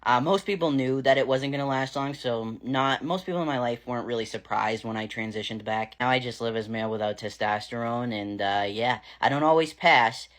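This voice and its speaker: A man in his thirties with a slightly raspy, gravelly voice, talking candidly in a casual vlog. He speaks frankly, with lots of fillers and the odd curse. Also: mid-range voice, brisk, few pauses, fairly bright tone. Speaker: vlogger.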